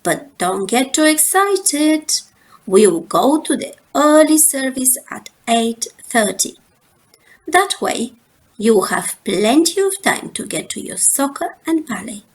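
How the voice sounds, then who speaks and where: A woman voicing a motherly female bear in a storybook, firm but kindly, with a patient, deliberate manner. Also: high voice, big pitch swings, exaggerated voice, low-quality recording.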